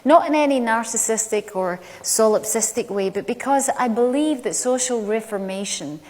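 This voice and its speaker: A middle-aged woman with a Glaswegian Scottish accent gives a prepared stage talk, telling stories in a measured, conversational way with deliberate pauses. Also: on the high side, darkish tone. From Glasgow, Scotland. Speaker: public speaker. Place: large indoor stage venue. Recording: echoey hall, long reverb.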